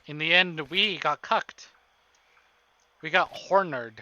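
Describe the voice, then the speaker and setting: A man's voice: fairly high pitch, slow, pushed and bright, dry recording, highs cut off. A man live-streaming a visual novel, reading it aloud dramatically with casual, joking, sweary asides, over music. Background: game music.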